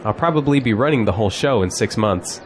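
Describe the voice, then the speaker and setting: A man acts as a cocky, upbeat young male graduate in a radio play. His pitch swings around in a lively way, with faint noise behind him. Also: quick pace, close to an everyday voice. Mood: confident. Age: young adult. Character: cocky, exuberant young male college graduate, polite to elders. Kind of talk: audio drama acting.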